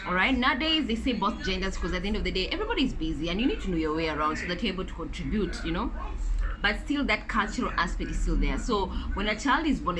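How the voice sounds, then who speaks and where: A woman's voice: medium pitch, background noise. A woman from Kenya, chatting and explaining at length in a casual vlog, with lots of fillers and restarts. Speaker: vlogger. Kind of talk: casual story time chat while eating. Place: home, noise behind.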